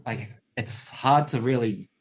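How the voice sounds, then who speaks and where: A man at a middling pitch, joining an interview as a film company guest. He talks wryly and haltingly, often restarting his sentences. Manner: hesitant, wry, many restarts. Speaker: guest, film distributor director. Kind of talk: casual interview chat.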